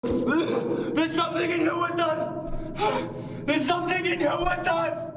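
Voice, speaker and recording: A man acting as a terrified male caver cries out extremely high and drawn out. The found-footage horror recording is echoing and very noisy. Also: flat delivery, slow pace, exaggerated voice. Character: terrified caver screaming a warning from underground.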